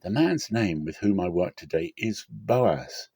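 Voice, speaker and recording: A man's voice: low voice, expressive, measured pace, creaky, darkish tone, husky, dry recording, clean studio sound, full character voice. A man voicing a humble, grateful young foreign widow, deferential and earnest, in a dramatic scripture reading. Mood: earnest, submissive.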